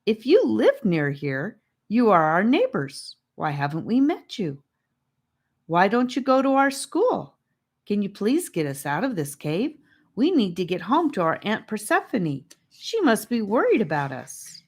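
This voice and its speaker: A woman's voice: medium pitch, wildly swooping pitch, a little slow, slightly rough, voice pushed up, full character voice. A woman voicing an anxious, curious young girl in a storybook, questioning and wide-eyed. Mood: worried, pleading.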